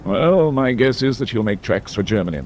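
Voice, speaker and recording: A man's voice: mid-range voice, expressive, normal speed, a little mellow, a little hiss or hum, lightly acted. A man playing a composed senior British naval officer in a radio war drama, clipped, courteous and understated. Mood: pensive, measured.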